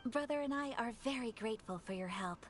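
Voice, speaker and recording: A woman portrays a polite young noblewoman from a video game. She speaks gently and graciously in a somewhat high voice. There is faint noise in the background. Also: brisk, natural voice. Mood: gentle, fond. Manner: gracious, proper.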